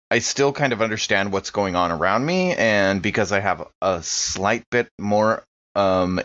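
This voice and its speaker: A man playing a tabletop game, casually describing his actions in halting, improvised phrases. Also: deep voice, fairly bright tone, highs cut off.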